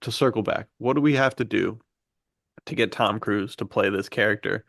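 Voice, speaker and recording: Low, gravelly man chatting. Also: normal speed, fairly bright tone, no echo, clean studio sound. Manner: enthusiastic, slangy. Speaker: podcast host. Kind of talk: casual film discussion.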